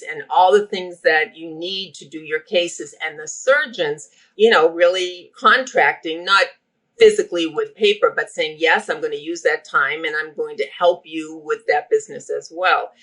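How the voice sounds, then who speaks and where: Bright-voiced Boston woman. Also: lowish voice, rough recording. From Boston area. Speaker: healthcare operations guest. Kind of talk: podcast interview.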